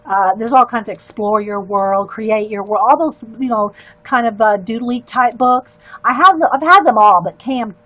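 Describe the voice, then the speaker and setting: An older woman, chatting in a loud, carrying voice and rambling into asides while she colors on a livestream. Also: on the high side, moderate pace, highs cut off. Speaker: livestream artist. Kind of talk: casual chat while coloring.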